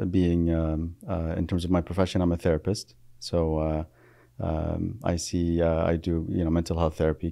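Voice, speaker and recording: A low, gravelly man in his thirties, a therapist guest in a podcast studio, with a dark, mellow tone. He talks hesitantly, pausing on fillers and restarting his sentences. The sound is close and dry on a boom mic. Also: no echo. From Iraq. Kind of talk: reflective podcast interview. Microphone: studio mic on boom arm.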